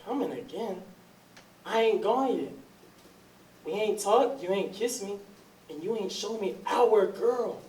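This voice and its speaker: A man's voice: high-pitched, animated, a little slow, long pauses, a little mellow. A man playing an ardent, insistent suitor in his forties in a readers theatre drama, with folksy, effusive speech. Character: ardent, insistent 42-year-old man courting his lost sweetheart. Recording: roomy, distant, noisy recording.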